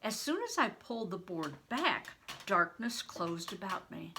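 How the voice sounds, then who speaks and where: An older woman reading a novel aloud as a storyteller for children, with deliberate, dramatic phrasing and stretched emphasis. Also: mid-range voice, very animated, background noise. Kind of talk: expressive read-aloud storytelling.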